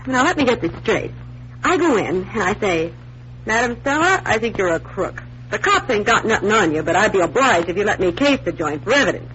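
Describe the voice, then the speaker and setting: A woman's voice: mid-range voice, very animated, a little fast, fairly bright tone. A woman playing a detective's perky, wisecracking assistant, playful and exclamatory, in 1940s radio acting style. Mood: sarcastic. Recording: dull, noisy 1940s radio recording.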